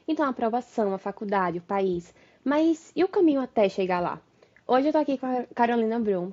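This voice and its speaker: Upbeat Brazilian woman hosting, mellow lo-fi. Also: a little high. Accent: Brazilian Portuguese. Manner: friendly, upbeat questioning with fillers. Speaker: podcast host, marketing analyst. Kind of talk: casual interview chat. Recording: dull lo-fi sound.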